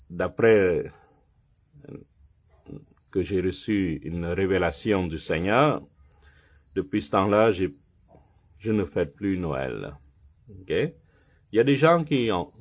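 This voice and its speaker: A man who is not a native French speaker gives a sermon and Bible teaching. He talks deliberately, with frequent pauses and fillers, and often checks in with his listeners by asking "ok?". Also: low voice, expressive. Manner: non-native French with some slips. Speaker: preacher.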